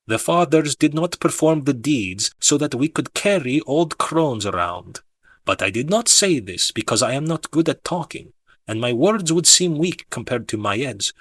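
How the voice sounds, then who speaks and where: Guy reading an audiobook, really animated pitch, measured n dramatic, super dry recording. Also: breathy edge, no room sound. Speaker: audiobook narrator. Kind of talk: expressive scripted audiobook narration.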